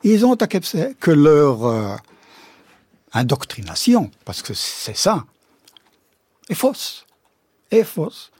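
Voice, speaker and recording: A slow-talking middle-aged man whose pitch leaps about as he argues with irony and emphasis. His voice is a little husky and sits close and dry in a radio studio. He is not a native speaker. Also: dry recording. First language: not French. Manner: expressive, ironic, emphatic with sudden pitch jumps. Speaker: philosopher guest. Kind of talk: radio interview, animated explanation.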